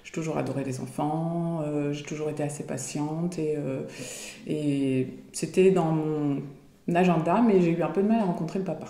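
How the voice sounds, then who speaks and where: A woman's voice: low-pitched, moderate pace, warm tone, reverberant. A woman in her forties chatting in an interview, hesitating often as she talks. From Belgium. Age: 40s. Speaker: guest. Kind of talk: casual interview chat.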